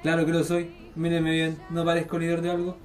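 A man's voice: on the high side, monotone, a little fast, darkish tone, soft background music, close to an everyday voice. A young Chilean man acting a needy, boastful, troubled teenage boy in a radio theatre piece. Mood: defiant. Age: young adult. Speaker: student performer.

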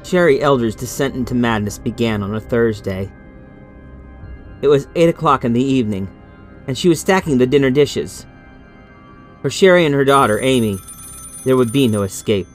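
A man's voice: medium pitch, expressive, normal speed, pauses often, faint music behind. A man reading a scripted horror story as an audiobook narrator.

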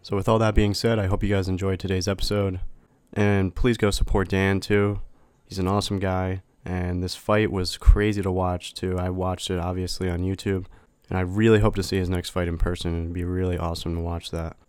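A young man hosting a podcast, sounding congested from a cold, chatty and relaxed, laughing often. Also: deep voice, a little fast, hardly any pauses, smooth, no room sound. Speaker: podcast host. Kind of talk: casual podcast chat.

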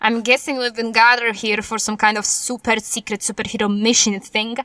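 A woman's voice: a little high, level tone, normal speed, sharp tone, no room sound. A woman playing a brash, bossy superheroine in a comedic audio drama, blunt and dismissive, speaking in foreign-sounding broken English. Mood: skeptical. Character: brash, bossy female cat-themed superhero with tragic backstory.

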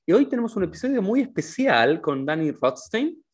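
A man's voice: high voice, big pitch swings, normal speed, husky. A man hosting a podcast, leading the conversation in a friendly way. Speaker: podcast host. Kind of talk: casual interview chat.

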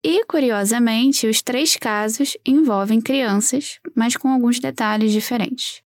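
Higher-pitched Brazilian woman, close dry sound. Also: slow pace, no echo. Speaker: true crime podcast host. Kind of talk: conversational podcast storytelling.

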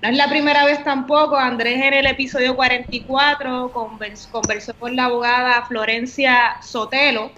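A woman's voice: high-pitched, flat delivery, normal speed, few pauses, sharp tone, highs cut off, slight background noise. A woman with a Puerto Rican accent hosting a casual interview podcast over a video call, friendly, with frequent "eh" fillers. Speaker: podcast host.

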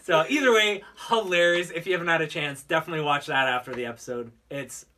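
A man's voice: on the high side, fast, pushed and bright, far from the mic, slight background noise. A man co-hosting a podcast in casual, unscripted chat, rambling along with lots of "like" as filler. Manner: rambling, filler-heavy with frequent "like". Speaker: podcast co-host.

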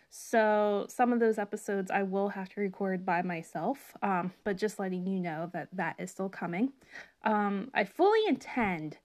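A young woman talks through a casual solo podcast episode, rambling and chatty with plenty of ums. Her voice carries a slight rasp left over from a cold, at a middle pitch for a woman. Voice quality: slightly raspy. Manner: chatty, rambling with many fillers. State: recovering from a cold, slight cough. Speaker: podcast host.

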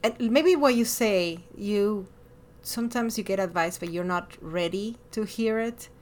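A woman with a Latin American Spanish accent talking casually and expressively as a podcast host, with animated emphasis. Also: medium pitch, unhurried. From born in Miami, lived over a decade in Ecuador. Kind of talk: casual personal conversation.

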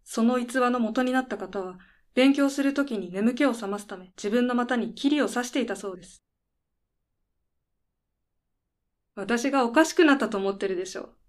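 Radio drama role, played by a woman: strict female service manager, higher-pitched, polite and firm, patience wearing thin. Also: fairly quick, natural voice. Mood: controlled, menacing. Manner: formal polite speech, firm, businesslike. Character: composed female head of a deadline-management service, losing patience. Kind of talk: radio drama dialogue acting.

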